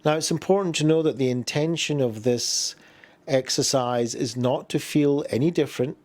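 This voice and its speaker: Man guiding a slow body scan meditation. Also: medium pitch. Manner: gentle, unhurried instruction with pauses. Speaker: meditation guide.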